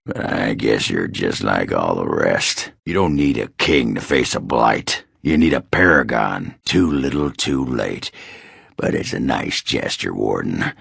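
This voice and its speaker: Gruff drunkard dwarf warrior for a game, super low voice, raspy, blunt and grumbly, sardonic. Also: male voice, fairly bright tone, no echo, clean studio sound, exaggerated voice. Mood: scornful. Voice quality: very deep, gravelly, rough. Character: gruff, surly, hard-drinking male dwarf warrior. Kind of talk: video game character dialogue.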